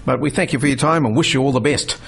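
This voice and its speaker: Chatty husky male radio host. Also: medium pitch, quick pace. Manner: chatty, affirming, laughs easily. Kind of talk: casual radio interview.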